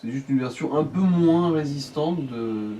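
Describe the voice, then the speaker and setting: A man's voice: on the high side, moderate pace, mellow. A man chatting casually as co-commentator on a video game let's play. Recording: distant mic, faint background noise.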